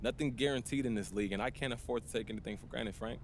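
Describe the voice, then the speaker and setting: A man's voice: medium pitch, fast talker, slight background noise, natural voice. A young man playing a cocky rookie basketball star in a game's interview scenes, casual and self-assured. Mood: easygoing, confident. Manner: self-assured, laid-back. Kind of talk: casual press interview acting.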